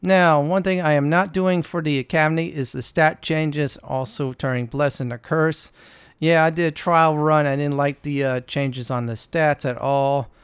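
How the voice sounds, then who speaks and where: A man's voice: a little high, steady tone, moderate pace, clean tone, no echo. Casting: male gaming host, informal and instructive, very clean resonant tone, dry close recording. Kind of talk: casual game walkthrough commentary.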